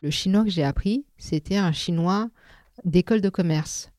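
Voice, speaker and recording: A woman's voice: on the low side, moderate pace, a little mellow, no echo, clean studio sound. A French woman speaking as a podcast guest, talking casually with frequent hesitations and filler sounds. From France. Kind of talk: casual interview conversation.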